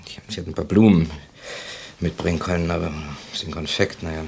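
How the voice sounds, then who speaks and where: An actor playing a gentle, courteous amateur sleuth in a German radio crime play, speaking thoughtfully and hesitantly. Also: male voice, low-pitched, fairly even intonation, a little fast, vocal fry, slight background noise. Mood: gentle, questioning.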